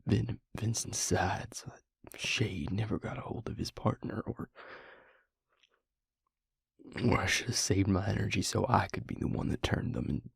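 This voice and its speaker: Guy doing a tired haunted vampire confessing to his mate, deep gravelly half whisper, super close dry audio roleplay. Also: fairly even intonation, dry recording, full character voice. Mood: anguished, self-blaming. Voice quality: very gravelly, husky, breathy near-whisper. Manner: slow, halting, brooding confession. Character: weary, guilt-ridden male vampire and former healer, confiding in his mate. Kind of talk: intimate audio roleplay drama, hushed monologue.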